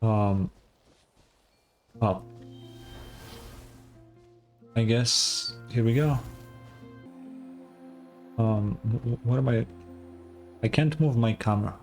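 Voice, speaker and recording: A man's voice: mid-range voice, slow pace, long pauses, a little mellow, soft background music. A man giving casual gameplay commentary, muttering half-finished reactions as he plays. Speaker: gameplay streamer.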